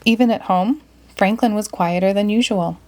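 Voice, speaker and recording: A woman narrating a children's picture book aloud in an expressive, deliberate way. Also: mid-range voice.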